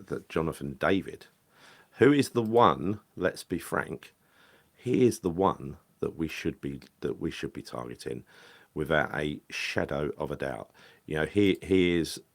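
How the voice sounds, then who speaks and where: A man's voice: sits low, gravelly, fairly bright tone. A man talking casually about football, rambling and correcting himself with lots of fillers. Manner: rambling, hesitant, frequent fillers and self-corrections. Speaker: football podcast host. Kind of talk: casual solo football chat.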